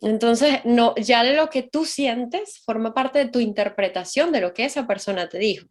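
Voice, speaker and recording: A woman's voice: a little high, normal speed. A woman hosting an interview in a casual, reflective style, searching for words and repeating them for emphasis. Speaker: interview host.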